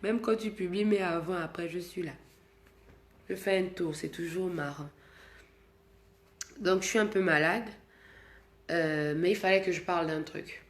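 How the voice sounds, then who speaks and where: A woman with the flu talks to her fans, slowly and with repeated restarts. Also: lowish voice, echoey room. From Gabon. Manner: starts quiet and subdued, grows loud and insistent when explaining. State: sick with flu. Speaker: singer addressing her fans. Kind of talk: direct-to-camera talk to fans.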